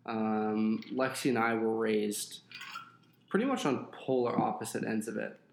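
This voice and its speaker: A young adult man with a gravelly voice chats loosely on a home podcast. He rambles with plenty of ums. The room is echoey. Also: fairly low pitch, measured pace, a little hiss or hum. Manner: relaxed, rambling with fillers. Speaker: podcast co-host. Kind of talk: casual podcast chat.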